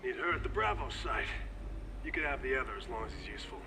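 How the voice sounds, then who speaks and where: Cold villain commander in an action game, average pitch, clipped, music underneath. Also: male voice, very animated, fast, creaky, low-quality recording. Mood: cold, commanding. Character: cold, commanding male mercenary boss, menacing and businesslike. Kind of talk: acted video game dialogue.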